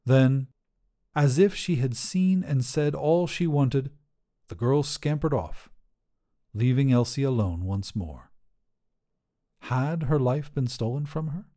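A man narrating a scripted short story for a podcast in measured, deliberate phrases with pauses. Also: medium pitch, mellow.

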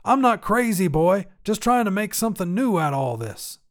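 A man's voice: sits high, very animated, moderate pace, pitched above the performer's own voice. A man voicing a stubborn old grandfather who tinkers with inventions, talking in a folksy, clipped, insistent way. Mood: stubborn, defensive.

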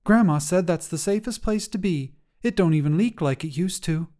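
A man's voice: sits high, moderate pace, warm tone, voice pushed up, natural voice. A man voicing an anxious, earnest little girl who pleads in an urgent whisper.

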